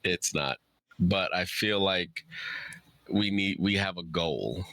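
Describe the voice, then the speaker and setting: Male author for a casual Q&A; deep voice, dry close sound, informal rambling delivery. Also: slow pace, pushed and bright, dry recording. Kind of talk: casual chat, answering audience questions.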